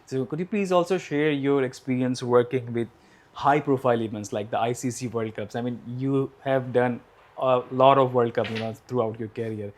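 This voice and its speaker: A man's voice: mid-range voice, steady tone, moderate pace. Polite male podcast host asking questions. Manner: polite, searching for words with fillers. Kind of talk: interview questions.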